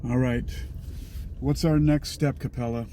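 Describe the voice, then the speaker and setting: A man playing an astronaut in mission dialogue, answering with measured, brief confirmations. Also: medium pitch, fairly even intonation, dark tone, a little hiss or hum. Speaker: role-player acting as an astronaut. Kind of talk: improvised radio-style mission dialogue.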